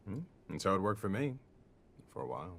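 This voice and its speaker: A man's voice: low-pitched, sing-song, a little fast, fairly bright tone, slight background noise. A man playing a wry, easygoing researcher who talks colloquially in a casual audio drama discussion.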